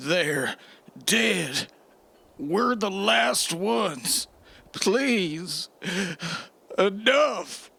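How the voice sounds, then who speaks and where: A man's voice: high voice, animated, very slow, gravelly, long pauses, bright, forceful tone, husky, full character voice. A man playing a cowardly, pleading captive knight in an audio drama, whimpering and drawing out his words. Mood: desperate, defeated. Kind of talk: post-apocalyptic audio drama acting.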